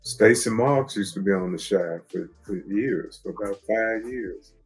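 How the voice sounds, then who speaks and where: A man's voice: lowish voice, measured pace, breathy edge. A man co-hosting a casual chat about a TV drama, laid-back, often restarting his sentences. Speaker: co-host of a TV discussion show. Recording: faint music behind.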